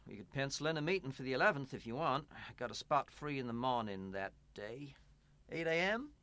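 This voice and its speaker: A man's voice: mid-range voice, lively intonation, fairly quick, sharp tone, no echo. A man acting in a radio play as a kindly but evasive church pastor, stammering and placating in naturalistic dialogue. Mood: measured, evasive.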